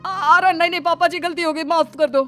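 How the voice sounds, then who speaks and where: A woman's voice: sits high, fairly quick, over music, close to an everyday voice. A woman voicing a greedy, whiny young husband in a cartoon moral story, protesting in an aggrieved way as if cheated. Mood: pleading.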